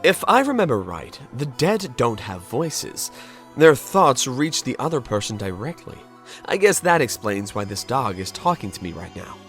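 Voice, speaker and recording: Man playing wry, lively ghost detective. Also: mid-range voice, moderate pace, soft background music, natural voice. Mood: pondering. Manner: wry, expressive. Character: amnesiac male ghost detective, wry and kindly. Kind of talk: animated game fandub acting.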